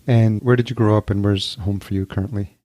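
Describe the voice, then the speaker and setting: Low, even-voiced middle-aged male podcast host. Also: brisk, dry recording, clean studio sound. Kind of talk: conversational interview questions.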